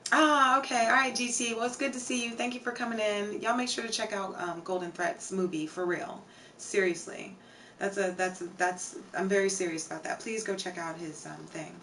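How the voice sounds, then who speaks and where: A young woman with a hoarse, tired voice, chatting casually with her viewers on a home live stream and filling her talk with "like" and "um". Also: mid-range voice, steady tone, fairly quick, fairly bright tone, distant mic, slight background noise. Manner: chatty, reads out chat names, many fillers. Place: home streaming room with shelves.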